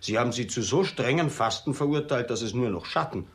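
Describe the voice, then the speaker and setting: An actor playing a blunt, soft-hearted, cheeky servant in a radio comedy, plain-spoken and theatrical, easily turning plaintive. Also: male voice, medium pitch, lively intonation, a little fast, natural voice. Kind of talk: German radio play comedy acting.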